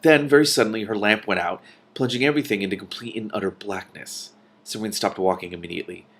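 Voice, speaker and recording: Low-voiced man reading a story aloud. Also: fast, creaky, a bit bright, slightly rough. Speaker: host reading a novel aloud with commentary. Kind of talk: reading aloud with casual reactions.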